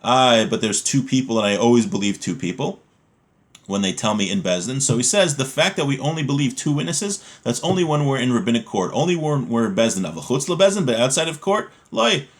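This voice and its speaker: A man's voice: mid-range voice, animated, fairly quick. A man giving an informal religious lesson, reading from a text and explaining it in plain words, often asking questions and answering them himself.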